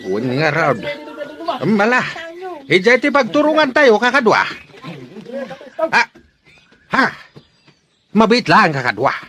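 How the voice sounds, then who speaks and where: An actor playing a forceful man leading companions through a forest, in an Ilocano-accented radio drama. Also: high-pitched, huge pitch swings, takes it slowly, long pauses, exaggerated voice. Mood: urgent.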